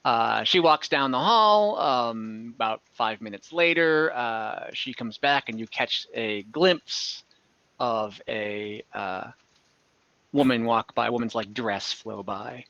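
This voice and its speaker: Bright-voiced man as halting game master. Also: mid-range voice, no echo, dull audio. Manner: halting, searching for words. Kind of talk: improvised narration of online tabletop game.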